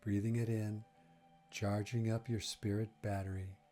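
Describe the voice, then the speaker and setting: A man leading a guided breathing meditation, speaking gently in short phrases with long, unhurried pauses. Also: lowish voice, little pitch movement, faint music behind.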